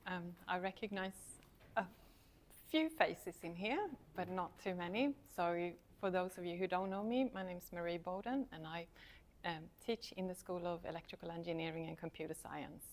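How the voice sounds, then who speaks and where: A woman's voice: on the low side, moderate pace. A middle-aged woman with a Swedish accent, a university lecturer giving a casual, self-deprecating talk to a student society and laughing often. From Sweden. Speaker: university lecturer, guest speaker.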